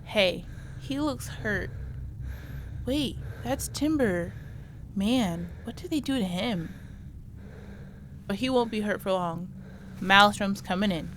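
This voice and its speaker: A woman's voice: mid-range voice, slow, long pauses, a bit bright, a little hiss or hum. A woman playing a watchful onlooker who calls out the action in a superhero radio drama. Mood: concerned.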